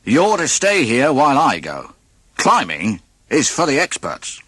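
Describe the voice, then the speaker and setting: A man's voice: mid-range voice, huge pitch swings, measured pace, sharp tone, slightly rough, no echo, studio quality, pitched above the performer's own voice, full character voice. A man voicing a boastful, short-tempered duck uncle from a cartoon storybook, in a blustering, emphatic way.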